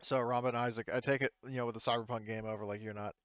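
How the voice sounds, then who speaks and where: A man as game master, narrating and chatting casually in a halting, loosely profane way. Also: medium pitch, steady tone, a bit bright, smooth, dry recording, lo-fi sound.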